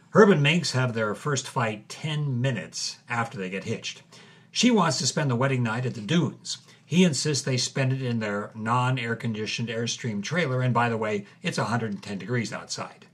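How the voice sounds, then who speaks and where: A man's voice: medium pitch, moderate pace. A man hosting and narrating a podcast in a dry, matter-of-fact scripted documentary style. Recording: clear music bed behind the voice.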